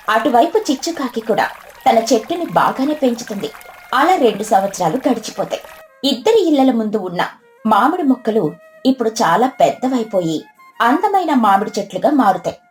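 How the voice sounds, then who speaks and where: Higher-voiced woman narrating a children's story. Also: a little mellow.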